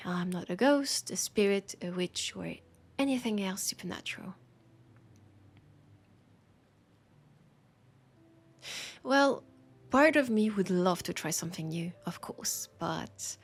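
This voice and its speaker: A woman with a French accent playing a teasing, warm bookshop keeper in an intimate audio roleplay, soft and playful, often slipping toward a whisper. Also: mid-range voice, breathy edge. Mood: wry, modest. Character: teasing, warm young-sounding human bookshop keeper, chatting to vampire.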